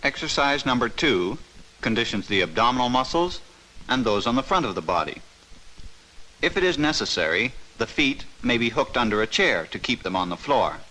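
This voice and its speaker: A man giving scripted, measured narration for an instructional training film from the 1950s, stressing key words as he explains. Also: mid-range voice, expressive, sharp tone, rough recording.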